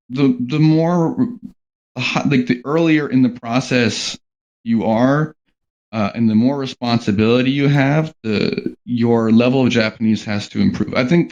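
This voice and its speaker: A man's voice: medium pitch, darkish tone. A man talking casually on a podcast panel about working in animation, rambling with lots of "like" and "um". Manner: rambling, heavy on "like" and "um" fillers. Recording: dull lo-fi sound.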